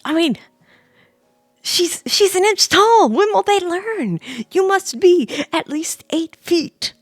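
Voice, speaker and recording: A woman streamer reading a bedtime story in a soft ASMR style, close to the microphone, with playful, rambling asides to her viewers. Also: extremely high pitch, expressive, slow, no room sound, soft background music.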